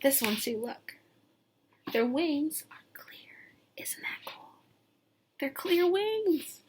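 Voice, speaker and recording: A woman teacher reading a picture book aloud to young children, expressive and sing-song, pausing to put questions to them. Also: high-pitched, noisy background. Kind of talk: read-aloud lesson with questions to young listeners.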